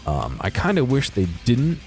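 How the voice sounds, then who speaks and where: A man's voice: medium pitch, huge pitch swings, normal speed, a little mellow, soft background music. A man giving casual, unscripted commentary over game footage, with a hesitant, rambling and understated way of talking. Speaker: video creator commentating on game exploration.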